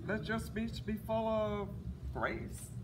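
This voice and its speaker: A woman with a raspy voice presenting a workshop and quizzing the audience. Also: medium pitch, takes it slowly. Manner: animated, questioning the audience. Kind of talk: interactive workshop talk. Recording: echoey room, distant mic, noisy.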